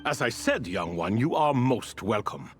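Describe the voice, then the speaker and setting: A man's voice: medium pitch, very animated, a little slow, faint music behind. Whimsical ancient bird king, wildly lilting. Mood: courteous, wistful. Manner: theatrical, leisurely storytelling. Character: ancient male ibis king spirit, courteous, wistful, whimsical. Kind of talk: video game voice acting.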